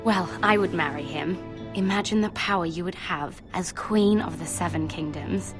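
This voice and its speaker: A woman playing a young lady-in-waiting in a video game, girlish, eager and gossipy, with an expressive delivery. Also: fairly low pitch, normal speed, music in the background, lightly acted. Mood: playful. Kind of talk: cinematic video game voice acting.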